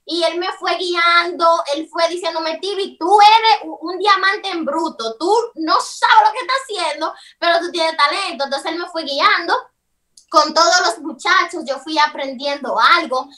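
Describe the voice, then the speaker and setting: Casting: very high, bright-toned Dominican woman comedian, playful, heard from far off a call mic. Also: measured pace. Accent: Dominican Cibao Spanish. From Dominican Republic, Cibao region. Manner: lively, joking, laughs at herself. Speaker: comedian, interview guest. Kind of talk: casual interview over video call. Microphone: video call.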